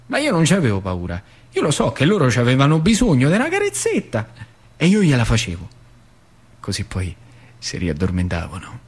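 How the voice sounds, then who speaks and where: A man's voice: mid-range voice, huge pitch swings, normal speed, breathy edge. An actor playing an old working-class factory man with a central Italian accent from northern Lazio, rambling and confiding as he reminisces in a theatrical monologue. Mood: wry, confiding. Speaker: stage actor.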